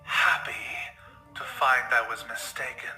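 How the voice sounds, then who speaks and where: A man's voice: medium pitch, steady tone, a little slow, lightly acted. A man playing a cruel, aristocratic vampire villain in an audio story, theatrical, drawling and condescending. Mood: smug, mocking. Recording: echoing voice over faint music.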